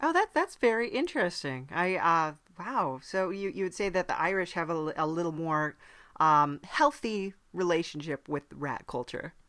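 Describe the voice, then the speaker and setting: A woman playing a non-human podcast host from hell, chatting casually in a halting, dryly polite way. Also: on the low side, animated, fairly bright tone. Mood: curious. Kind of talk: casual in-character interview chat.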